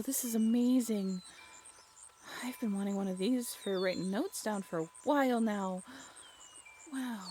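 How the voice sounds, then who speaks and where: A woman's voice: fairly high pitch, slight background noise. A woman playing an affectionate, grateful birthday celebrant and werewolf's partner in an intimate roleplay audio drama. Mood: amazed, grateful. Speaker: voice actor.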